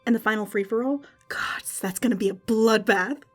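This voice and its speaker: A woman playing a chatty, excitable and bloodthirsty fantasy arena announcer in an audio drama. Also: a little high, a little fast, close to an everyday voice. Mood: gleeful. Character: gleeful, bloodthirsty female fantasy arena announcer, easily worried. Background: music.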